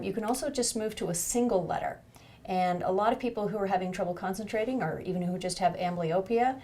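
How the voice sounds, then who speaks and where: A woman's voice: mid-range voice, a little mellow. A woman clinician giving an instructional demonstration of an eye exam, encouraging the patient as she explains each step.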